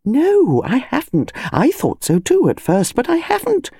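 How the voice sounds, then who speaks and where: An upper-class English country wife in a mystery audiobook, voiced by a woman. She speaks high and with lively swoops, insisting urgently and emphatically on being believed. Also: a little slow, gravelly, slightly rough, no echo, clean studio sound, heavily acted. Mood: urgent insistence.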